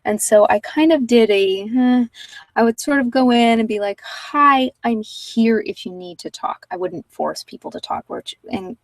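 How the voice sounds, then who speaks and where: A woman talking informally and unscripted on an ASMR meditation channel, thinking aloud in a hesitant, reflective, rambling way with pauses. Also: a little high, no echo, distant mic. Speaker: meditation guide and former social worker.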